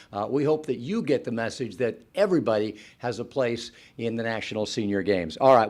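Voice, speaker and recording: A man hosting a show, presenting in a measured commentary style. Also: mid-range voice, a little mellow. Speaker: show host. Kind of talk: studio presenting.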